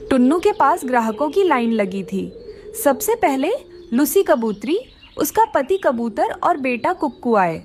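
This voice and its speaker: A woman's voice: high-pitched, very animated, unhurried, darkish tone, slight background noise. A woman giving scripted narration for a children's cartoon story.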